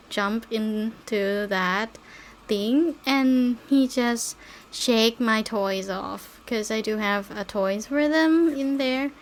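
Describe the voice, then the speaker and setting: Slow, high-pitched woman chatting casually. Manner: non-native English phrasing. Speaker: podcast host. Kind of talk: casual rambling chat, with baby talk to pets.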